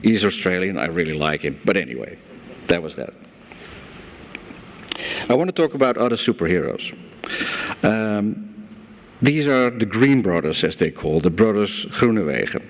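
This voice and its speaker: A man's voice: deep voice, animated, moderate pace, long pauses. Dutch-accented man giving a talk. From Netherlands. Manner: storytelling, self-deprecating humor. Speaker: guest speaker. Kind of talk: conference presentation. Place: conference hall. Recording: hall reverb from a lecture room.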